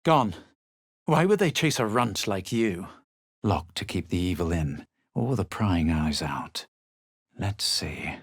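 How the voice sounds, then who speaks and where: An actor playing a haughty, self-assured adventurer in a fantasy video game, with a measured, dramatic and superior delivery. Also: male voice, deep voice, animated, husky, no echo, studio quality, exaggerated voice. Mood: composed, superior, then muttering, musing. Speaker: voice actor of a player character. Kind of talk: scripted video game character lines and narration.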